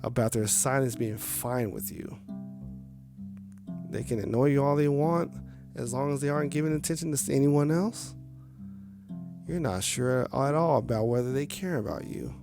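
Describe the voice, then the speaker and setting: A man's voice: mid-range voice, moderate pace, gravelly, long pauses, natural voice. A man voicing a sad, insecure male clown puppet who gets defensive, in a visual novel game. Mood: accusing. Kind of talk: visual novel character reading. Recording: music faintly behind the voice. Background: music.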